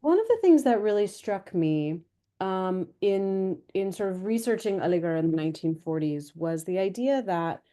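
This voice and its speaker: Measured, warm-toned woman historian. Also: mid-range voice, expressive. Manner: measured, explanatory, lecture-like. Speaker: history professor on a panel. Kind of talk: academic panel answer.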